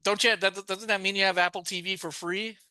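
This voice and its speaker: A man's voice: high voice, fairly even intonation, fast talker, bright, forceful tone, no echo. A man co-hosting a podcast, talking casually and at length, often restarting his sentences. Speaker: podcast co-host. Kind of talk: casual chat.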